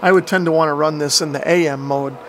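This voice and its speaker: A man's voice: a little high, fairly even intonation, fast talker. A man giving a casual, informal hands-on equipment tutorial in an explanatory way, recorded on a camera microphone. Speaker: radio hobbyist demonstrating equipment. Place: indoor workshop with radio gear. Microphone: camera microphone at a short distance. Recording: noisy camera mic with fan hum. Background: cooling fan noise.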